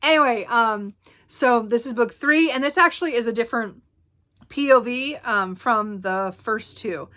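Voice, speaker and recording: Female book vlogger, casual and chatty, bright tone, slight hoarseness, meandering delivery full of fillers. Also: fairly high pitch, a little slow. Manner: rambling, many ums and self-corrections. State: slightly hoarse, clearing throat. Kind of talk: casual book haul chat.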